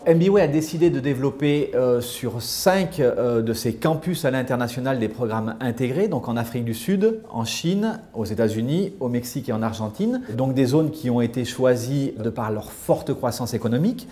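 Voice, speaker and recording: Man, school representative, measured with pauses, warm. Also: mid-range voice, lively intonation. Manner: measured explanatory delivery with frequent fillers. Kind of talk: promotional interview.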